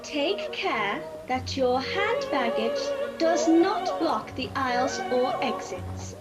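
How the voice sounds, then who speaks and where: Music plays around an over-polite air stewardess. Her voice is high and very lively in pitch, with an affected, posh sing-song delivery, as in a theatrical in-flight safety announcement. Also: slow pace, heavily acted. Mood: bright, affected.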